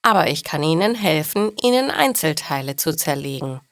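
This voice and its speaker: A woman's voice: low-pitched, animated, moderate pace, no echo, studio quality. A woman voicing a practical, helpful male car mechanic in a storybook, in emphatic, explanatory dialogue.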